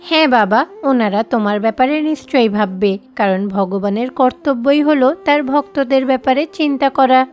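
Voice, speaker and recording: A woman's voice: high voice, normal speed, darkish tone, soft background music, lightly acted. A woman playing a caring, reassuring, devout mother in a cartoon story.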